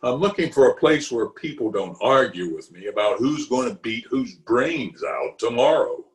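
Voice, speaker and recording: A man's voice: medium pitch, expressive, normal speed, reverberant, natural voice, poor audio. A man playing a pompous, easily exasperated middle-aged official in an old-time radio comedy, recorded at home over a video call. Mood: grumpy. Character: blustery, exasperated middle-aged male water commissioner, pompous and opinionated.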